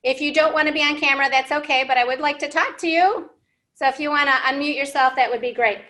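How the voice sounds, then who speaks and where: American lady in her 30s doing kids storytime, pretty high voice, encouraging and teachery, asks little questions. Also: quick pace, sharp tone. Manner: encouraging, simple teacherly phrasing with frequent questions to children. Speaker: library children's storytime host. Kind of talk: interactive storytime lesson for young English learners. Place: indoor library room, seated at a whiteboard.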